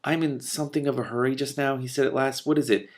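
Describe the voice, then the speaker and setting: A man reading a fantasy novel aloud, raising his voice for the characters' lines and breaking off for quick, lively comments and laughs. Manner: animated, laughs and swears in asides, quick mutters between passages; rises in pitch and volume for character lines. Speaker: reader and commentator.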